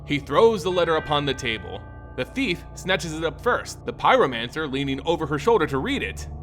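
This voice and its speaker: Male lore host and storyteller, fairly high-pitched and very animated, theatrical scripted fantasy read over music. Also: a little fast. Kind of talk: dramatic scripted fantasy narration and commentary.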